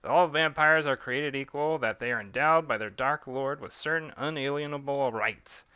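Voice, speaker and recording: A man's voice: mid-range voice, moderate pace, bright, forceful tone, clear, resonant tone, no echo, lightly acted. A man voicing a pompous male vampire talk-radio host, ranting in a preachy broadcast manner. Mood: indignant.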